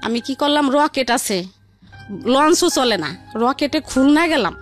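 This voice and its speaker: A woman's voice: high voice, lively intonation, a little slow, soft background music. A woman telling her life story in a rambling, repetitive, conversational way. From Barisal region, Bangladesh. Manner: rambling, repetitive, colloquial narration.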